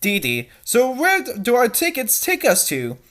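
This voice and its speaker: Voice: medium pitch, huge pitch swings, moderate pace, a bit bright. A host giving a mocking dramatic reading of fan fiction, with sarcastic, exaggerated delivery and commentary.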